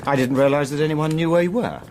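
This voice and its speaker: An actor playing a loyal, long-suffering gentleman companion in a period radio drama, genial, polite and gently dry. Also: on the high side, fast, natural voice. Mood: concerned.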